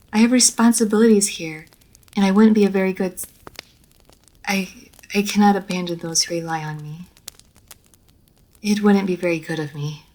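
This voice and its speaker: A woman playing an immortal vampire lady of a manor in an audio roleplay, formal and measured, poised and teasing, speaking straight to the listener. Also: fairly low pitch, darkish tone, close to an everyday voice, rough recording. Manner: formal, measured, old-fashioned genteel phrasing. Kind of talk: scripted roleplay audio story, addressed to the listener.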